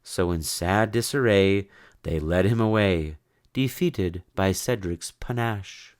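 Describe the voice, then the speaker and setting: A man narrating a rhyming children's story in an expressive, theatrical way. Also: sits low, slow pace. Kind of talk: dramatic rhyming children's story read-aloud.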